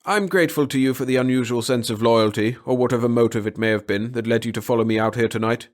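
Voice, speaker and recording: A man's voice: medium pitch, fast, close to an everyday voice. A man voicing an intense middle-aged biologist in an audiobook, formal and insistent. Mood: urgent, formal. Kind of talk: dramatic audiobook character reading.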